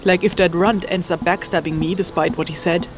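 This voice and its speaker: A woman's voice: lowish voice, steady tone, brisk, over music, lightly acted. A woman playing a sardonic, haughty gray-furred spirit summoner in a fantasy audio drama, exasperated and cutting. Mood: grumbling.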